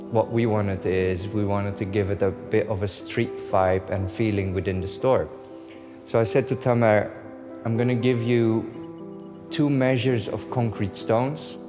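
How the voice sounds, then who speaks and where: A man from the Netherlands walking through his new shop, telling its story with enthusiasm and acting out his phone calls with the artists. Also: fairly low pitch. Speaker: store owner giving a tour. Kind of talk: casual walkthrough narration. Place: inside a large shop.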